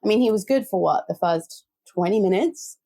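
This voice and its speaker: A woman's voice: medium pitch, lively intonation, moderate pace, dark tone, husky. A woman co-hosting a football podcast, chatting about players and weighing options aloud in a casual way. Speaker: podcast co-host. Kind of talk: casual football analysis chat.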